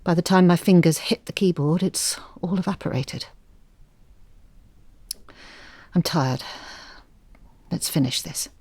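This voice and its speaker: A woman's voice: lowish voice, normal speed, a little mellow, dry recording. An actress playing a weary, wounded mother in an audio drama, speaking in a reflective, plaintive and articulate way. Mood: weary reproach. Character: weary, wounded married mother, wistful and earnest. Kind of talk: audio drama acting, confessional monologue.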